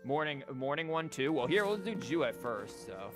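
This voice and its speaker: Male game streamer, casual and rambling, thinks out loud while explaining, over prominent game music. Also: on the high side, big pitch swings, moderate pace. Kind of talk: casual live gameplay commentary and explanation. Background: video game music and character lines.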